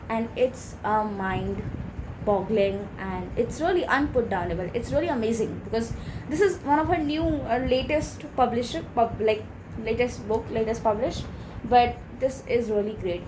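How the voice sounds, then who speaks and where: High-voiced woman gushing, noisy background. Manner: enthusiastic, gushing. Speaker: book review vlogger. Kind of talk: casual chat to viewers. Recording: clear background noise.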